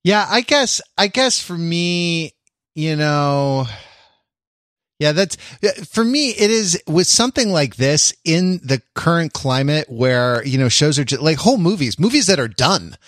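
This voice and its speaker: A man hosting a casual podcast discussion, playful and halting, circling back over his phrases as he thinks aloud. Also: fairly high pitch, very animated, fairly bright tone, no room sound, clean studio sound. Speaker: podcast host.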